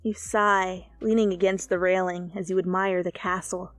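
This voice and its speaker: A woman reading fan fiction as an expressive, dramatic narrator who swears freely. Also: lowish voice, normal speed, no echo. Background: soft music.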